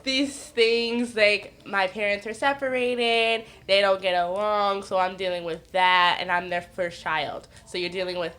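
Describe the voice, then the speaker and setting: A woman's voice: medium pitch, fairly even intonation, measured pace, few pauses, a bit bright. A woman in her twenties from the northeastern United States, a podcast guest telling frank personal stories, laughing often and acting out what others said. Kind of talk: candid casual chat.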